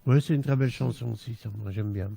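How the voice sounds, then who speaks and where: A man's voice: medium pitch, fast, vocal fry, dark tone, slightly rough. A man chatting casually as he hosts an online live music stream. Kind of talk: casual chat.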